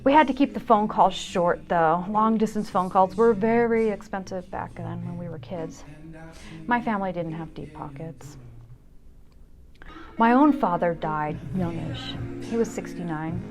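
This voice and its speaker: A woman's voice: lowish voice, unhurried, over music. A woman in her fifties hosting a solo show, narrating a scripted personal essay in a wry, candid manner. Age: 50s.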